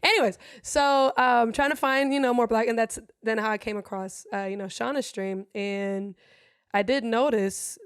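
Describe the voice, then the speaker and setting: A woman's voice: a little high, steady tone, moderate pace, clear, resonant tone, dry recording. Brief: woman, English, rambling and blunt, dry close sound. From Germany. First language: German. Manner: rambling, frank, swears freely. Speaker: guest streamer. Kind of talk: casual interview chat.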